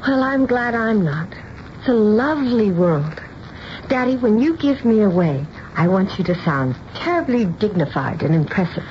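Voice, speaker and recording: An actress playing an opinionated nineteen-year-old daughter and bride-to-be in a radio drama, pert and gushing. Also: female voice, mid-range voice, big pitch swings, normal speed, natural voice. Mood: gushing, affectionate. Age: young adult. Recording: dull, hissy broadcast radio recording.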